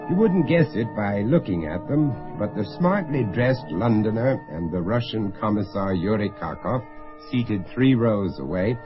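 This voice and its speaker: Low-ish guy narrating an old radio show, pitch moves a lot, music behind, dull sound. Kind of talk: scripted radio drama narration.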